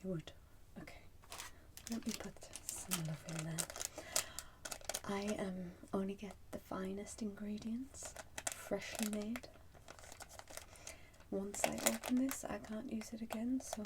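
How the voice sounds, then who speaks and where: Woman, soft breathy whisper, slow unhurried delivery, ASMR shopkeeper roleplay, noticeable background noise with clicks. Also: medium pitch, steady tone. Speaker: ASMR performer playing a soup shop keeper. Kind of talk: whispered ASMR roleplay, addressing the listener as a customer.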